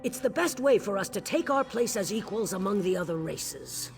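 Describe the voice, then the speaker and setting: A woman's voice: mid-range voice, gravelly. A woman playing a devoted orc warrior queen in a video game, speaking solemnly, earnestly and with resolve. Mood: resolute, pleading. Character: devoted orc warrior queen, king's sworn shield-wife. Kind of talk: scripted video game dialogue.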